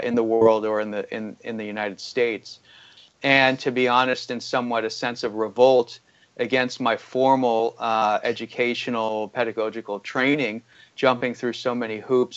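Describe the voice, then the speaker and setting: A man from Iran, a guest analyst in an interview, speaking in a measured way with many fillers. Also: medium pitch, smooth, lo-fi sound. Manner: measured, filler-heavy and articulate. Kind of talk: conversational interview.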